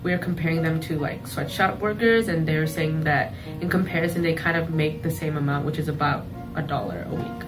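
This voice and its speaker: A young woman in her twenties answers interview questions in a low voice, hedging often with fillers. She sits away from the mic, and music plays under her voice. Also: a little fast, hardly any pauses, recorded from a distance, rough recording. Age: 20s. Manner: hesitant, many fillers.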